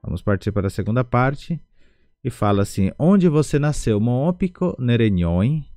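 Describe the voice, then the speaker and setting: A man with a Brazilian Portuguese accent teaching an online language lesson, slowly repeating each word syllable by syllable. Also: medium pitch, very animated, warm tone, dry recording, clean studio sound, faint music behind.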